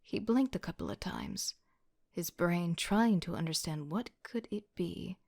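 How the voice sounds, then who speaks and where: A woman acting as an exasperated young female student telling her own story in an audio drama. Her voice sits a little low, and her delivery is sardonic and self-mocking. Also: lively intonation, unhurried, creaky, a little mellow, exaggerated voice. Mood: wry, self-conscious. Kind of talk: first-person audio drama narration with outbursts.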